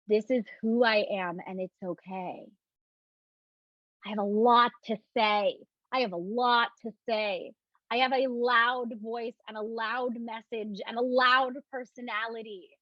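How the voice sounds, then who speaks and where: A woman's voice: on the high side, no room sound, muffled recording. A woman leading a live online self-love talk, soothing and repetitive at times, chatty and loose at others. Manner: soft, reassuring, repeats phrases slowly for emphasis; loose, chatty with many "like" and restarts. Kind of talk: guided talk with breathing cues and viewer questions.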